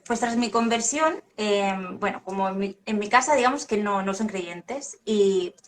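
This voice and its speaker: A woman's voice: medium pitch, moderate pace. A woman in her thirties, a guest evangelist, giving a personal testimony in an earnest, reflective way with hesitant restarts.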